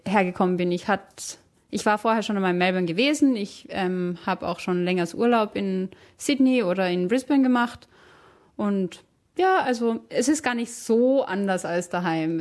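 A young Austrian woman with a soft Tyrolean color in her standard German. She answers questions in a relaxed, chatty way, with fillers and quick self-corrections, at an ordinary mid pitch. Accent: Austrian, Tyrolean-tinged standard German. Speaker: German teacher abroad. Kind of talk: casual interview answers.

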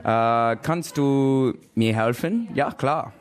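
A man speaking slow, careful practice lines as a learner in a language class. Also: medium pitch.

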